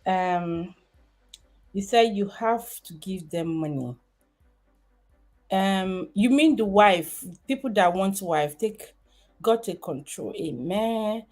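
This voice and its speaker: A woman's voice: mid-range voice, takes it slowly, a little mellow. A woman in her thirties from Nigeria with a Nigerian accent, chatting casually on a livestream and rambling with many fillers and restarts. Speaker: livestream host.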